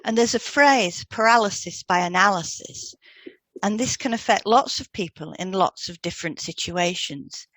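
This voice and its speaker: A woman's voice: mid-range voice, animated, moderate pace, fairly bright tone, dry recording, poor audio. A woman co-hosting a podcast, explaining therapy techniques in a scripted dialogue. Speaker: podcast co-host, hypnotherapist.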